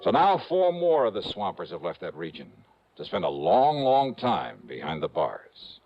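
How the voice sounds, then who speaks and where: A man's voice: low-pitched, big pitch swings, moderate pace, a little hiss or hum. An actor playing a cool, hard-boiled male investigator narrating his case in clipped, wry 1950s radio noir style. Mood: tense, wry. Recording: dull vintage radio recording.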